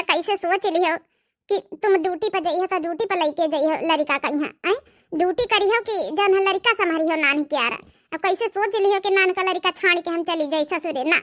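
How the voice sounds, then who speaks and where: A woman's voice: extremely high pitch, fairly bright tone. A woman with an Awadhi accent voicing a stubborn, devoted young aunt who argues firmly in a cartoon story. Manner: firm, argumentative. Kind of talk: amateur cartoon story dialogue.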